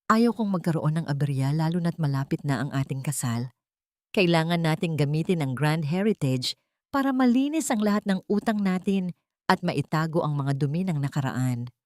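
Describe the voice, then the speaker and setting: A woman's voice: deep voice, expressive, normal speed, no room sound, clean studio sound. A woman voicing a spoiled young rich mistress of a mansion, sneering, taunting and imperious, in an audiobook drama. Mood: sly, taunting.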